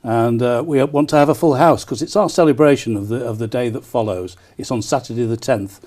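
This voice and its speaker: A man answers interview questions as a keen event promoter. His voice is dark and mellow at an average male pitch. He talks up the details with enthusiasm, often breaking off for small hesitations. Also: fairly quick. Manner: enthusiastic, frequent hesitant ers. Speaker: town crier and festival promoter, interview guest.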